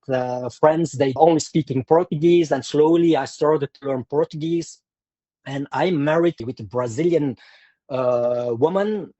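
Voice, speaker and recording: A man's voice: medium pitch, warm tone, no echo. A man from Belgium with a Flemish accent, a martial arts instructor speaking in an interview, hesitant and full of fillers and restarts. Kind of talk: conversational interview.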